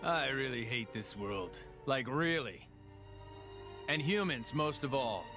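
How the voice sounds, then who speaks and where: Weary cynic for a game drama: slow, resigned, wistful, with strong music underneath. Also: male voice, mid-range voice, lively intonation. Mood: bitter, weary. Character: weary, cynical man, world-hating yet wistful. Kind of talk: dramatic video game cutscene acting.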